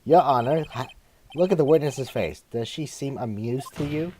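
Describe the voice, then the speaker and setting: A man's voice: mid-range voice, moderate pace, dark tone, a little hiss or hum, natural voice. A man voicing an earnest young male defense lawyer who presses and accuses, in an amateur fan dub. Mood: accusing.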